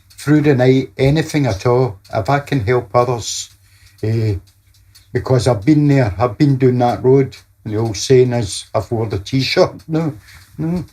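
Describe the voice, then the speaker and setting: Middle-aged Scottish male, husky voice, plain-spoken interviewee telling his own story. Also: mid-range voice, warm tone. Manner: plain-spoken, frequent "no?" and "you know" tags. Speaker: interviewee sharing a personal story. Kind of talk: personal testimony.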